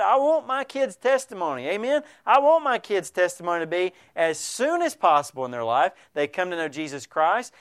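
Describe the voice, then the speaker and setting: A man's voice: high voice, clear, resonant tone. A male pastor preaching a sermon, earnest and direct, addressing the congregation with frequent rhetorical questions.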